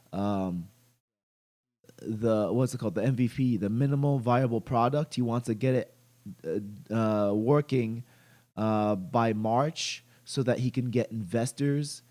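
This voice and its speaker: A young man hosts a casual gaming livestream with a blocked, stuffy nose. He talks at an unhurried, plodding pace in a mid-range voice and stumbles through many fillers and restarts. Now and then he breaks off to greet chat viewers. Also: medium pitch, warm tone. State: stuffy-nosed from allergies. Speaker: gaming channel host.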